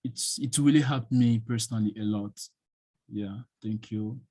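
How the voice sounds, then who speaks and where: A man whose first language is French, answering questions as a guest speaker in an online session, pausing often with fillers. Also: on the low side, dark tone, dry recording. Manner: hesitant, many fillers, thinking aloud. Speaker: guest speaker on studying abroad.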